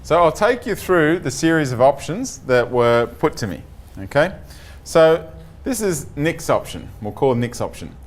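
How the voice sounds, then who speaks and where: A man's voice: fairly high pitch, very animated, a little slow. A man presenting a conference tech talk as chief architect, opening with energy and many ums. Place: meeting room with audience.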